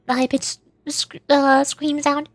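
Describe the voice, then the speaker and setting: A woman's voice: high voice, level tone, slow, no room sound. A woman livestreamer chatting casually over a game in a bubbly, rambling, giggly way. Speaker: livestreamer, VTuber.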